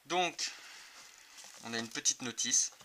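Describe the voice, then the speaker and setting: A man's voice: mid-range voice, takes it slowly, sharp tone. A man giving a casual, improvised and somewhat hesitant hands-on product demonstration for a video. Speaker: motorcycle gear video reviewer. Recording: clearly noisy amateur video recording.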